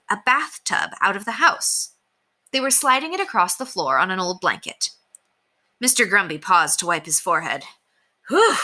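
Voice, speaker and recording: A woman reading a children's book aloud as narrator, expressive and emphatic. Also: mid-range voice. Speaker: storybook reader.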